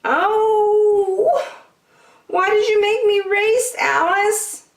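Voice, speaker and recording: Storybook girl mouse, played by a woman: high, animated, emphatic, quick to fret, roomy sound. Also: full character voice. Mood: upset, accusing. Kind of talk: children's storybook character voice.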